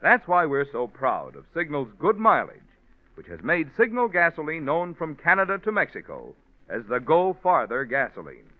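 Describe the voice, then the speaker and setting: Male 1940s sponsor announcer, very animated, emphatic and polished, dry but narrow, muffled period sound. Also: on the high side, normal speed, dry recording. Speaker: radio announcer. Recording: muffled, narrow-band old radio recording.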